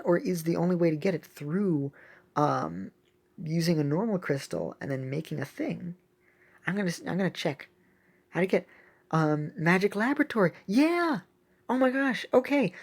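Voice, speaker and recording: A woman giving casual, excitable gameplay commentary as a video host, thinking aloud as she plays. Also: deep voice, gravelly. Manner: excitable, exclaiming often; thinks aloud while playing.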